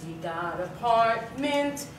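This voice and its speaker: A weary Italian mamma, complaining comically in broken English. Her high voice drawls very slowly in a sing-song accent, distant in an echoey theater. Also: animated, over music. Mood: comic complaining. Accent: Italian, put on. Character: weary, comic Italian immigrant wife and mother of many. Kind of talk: broad comic stage acting. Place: small theater, live staged reading. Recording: echoey theater, distant mic, faint noise.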